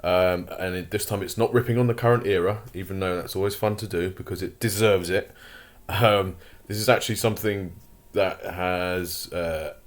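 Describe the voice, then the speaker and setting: A man hosting a casual commentary vlog, his voice croaky, rambling with lots of fillers and opinionated asides. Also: sits low, steady tone, normal speed. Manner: rambling, many fillers and restarts. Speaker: channel host.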